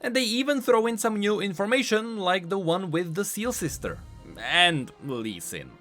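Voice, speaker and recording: A man's voice: sits high, animated, normal speed, fairly bright tone. A man hosting an explanatory video game lore commentary, speaking in an emphatic way. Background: faint background music.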